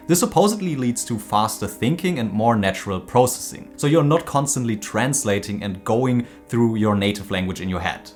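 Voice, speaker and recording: A man from Germany with a German accent explains ideas in a scripted video essay. His pitch sits in the middle and moves a lot as he speaks. Soft guitar music plays quietly underneath. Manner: lively, animated explaining. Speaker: language learning host.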